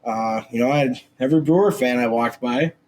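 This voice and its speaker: A man's voice: mid-range voice, a little fast, darkish tone. Brash young man, slightly scratchy voice. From Minnesota. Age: 20s. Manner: brash, swearing, rambling with many fillers. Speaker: podcast co-host. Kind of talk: casual sports chat.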